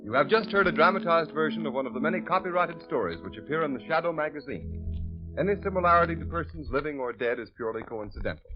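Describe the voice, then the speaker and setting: A man's voice: on the high side, animated, fast. Emphatic old-time radio announcer, man. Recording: dull old radio recording with music.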